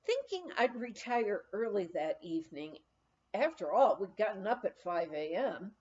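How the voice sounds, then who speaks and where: Woman narrator, dull lo-fi recording, highly animated intonation, deliberate phrasing, memoir audiobook reading. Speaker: audiobook reader.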